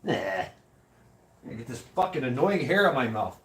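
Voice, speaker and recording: A man's voice: mid-range voice, big pitch swings, normal speed. Casting: American male, middle-aged, loose livestream host, meandering, salty-tongued storyteller. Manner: sweary, laughing, meandering storytelling. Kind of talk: casual rambling livestream chat.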